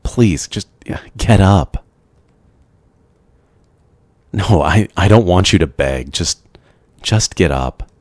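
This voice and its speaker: A man acts out a brooding vampire with an extremely low voice, slow and hesitant. His phrasing is courtly and gentle, a reluctant but devoted lover in an ASMR roleplay. The sound is dry and close, with no room around it. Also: animated, no echo. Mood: distressed, pleading.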